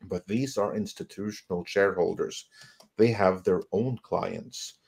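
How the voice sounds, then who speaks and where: Low-voiced man giving critical commentary. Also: measured pace, vocal fry, darkish tone, breathy edge. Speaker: panelist giving financial commentary. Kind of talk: live panel commentary.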